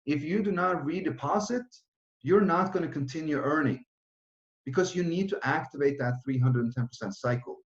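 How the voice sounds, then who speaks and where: Man in his thirties, roomy lo-fi sound. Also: on the high side. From Norway. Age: 30s. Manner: fast, run-on, with frequent restarts and fillers. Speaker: project founder presenting. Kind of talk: online presentation with Q and A.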